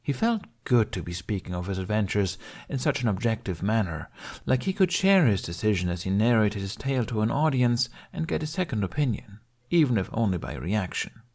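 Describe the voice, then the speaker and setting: A man with a lowish, creaky voice reads scripted fiction. He narrates in the manner of an audiobook. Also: very animated, a little fast, a little mellow.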